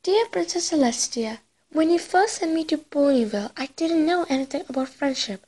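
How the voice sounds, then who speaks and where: A woman voicing a bookish young pony princess from a cartoon, earnest, excitable and dramatic in her delivery. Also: high-pitched, brisk, low-quality recording. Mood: earnest, reflective. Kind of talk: cartoon character acting.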